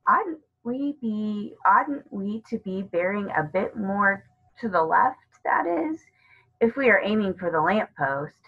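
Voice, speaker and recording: A woman's voice: mid-range voice, expressive, slow pace, breathy edge, phone-quality sound, exaggerated voice. A woman voicing a sullen, spiteful younger schoolboy in a storybook reading, grumbling and sneering. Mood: hesitant.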